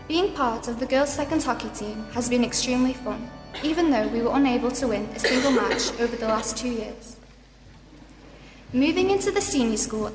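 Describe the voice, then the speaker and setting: Young woman giving a speech in an echoey hall. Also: fairly high pitch. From South Africa. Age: late teens. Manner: formal, read from a script, measured. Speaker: deputy school captain. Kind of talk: prepared prize-day speech. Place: large school hall at a ceremony. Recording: echoey hall with music behind.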